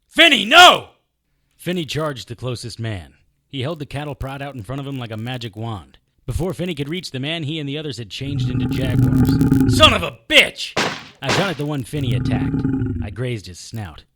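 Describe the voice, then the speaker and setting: A man's voice: medium pitch, big pitch swings, normal speed, sharp tone, slight background noise. An actor playing a wry, impulsive, tough detective who narrates his own story in an audio drama. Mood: urgent, exasperated. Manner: wry, hard-boiled. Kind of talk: first-person audio drama narration and acting.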